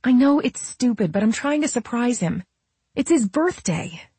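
A woman narrator acts out an eager young woman in an audiobook, pitched high and light. She sounds bouncy and persistent, pleading with urgency in casual phrasing. The recording is dry and close. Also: lively intonation, normal speed, warm tone, slightly rough, no echo. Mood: pleading, urgent. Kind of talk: audiobook character dialogue.

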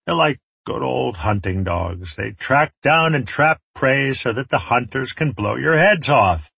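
A man voicing a menacing male warlord in an audiobook, taunting and mockingly genteel in a theatrical, drawn-out way. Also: medium pitch, animated, creaky, pushed and bright, husky, dry recording, pitched above the performer's own voice, heavily acted. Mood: smug, menacing.

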